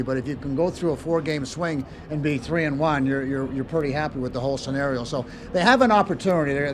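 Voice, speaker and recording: A man's voice: on the high side, background noise. A man in his sixties giving conversational, folksy post-game hockey analysis, repeating words as he goes. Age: 60s. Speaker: sports analyst. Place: inside a large hockey arena. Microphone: handheld broadcast microphone.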